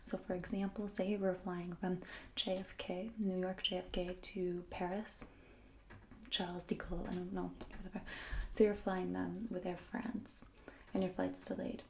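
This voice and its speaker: A young woman explaining a topic in a soft, mostly whispered ASMR style, rambling with fillers and self-corrections. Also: fairly low pitch, flat delivery, moderate pace, creaky, slight background noise. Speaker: vlogger.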